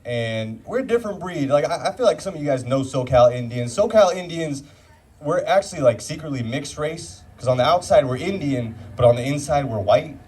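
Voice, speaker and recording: A man's voice: fairly high pitch, lively intonation, fairly quick, darkish tone, far from the mic. A young man from Orange County doing a rambling, self-mocking stand-up set in a brew pub comedy club. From Orange County, California. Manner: self-deprecating, rambling with filler words.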